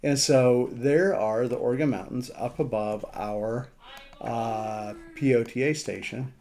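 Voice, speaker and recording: A man with a relaxed, mellow voice at a middling pitch presents a casual screen walkthrough. He thinks aloud as he goes, in a halting, conversational way. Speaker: amateur radio operator presenting a map demo.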